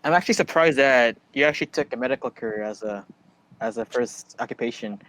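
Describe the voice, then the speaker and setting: A man with an average-pitched voice chats casually as co-host. His questions are loose and conversational. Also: fast talker, fairly bright tone, low-quality recording. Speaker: co-host and producer. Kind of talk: casual chat.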